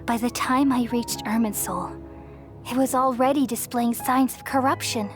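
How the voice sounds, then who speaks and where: A serene, motherly goddess of great age , acted by a woman speaks in a fairly high voice. She is wise and solemn, reassuring in a measured game-drama delivery. Music plays clearly behind her. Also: steady tone, natural voice. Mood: grave. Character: gentle, wise ancient tree goddess, serene and maternal.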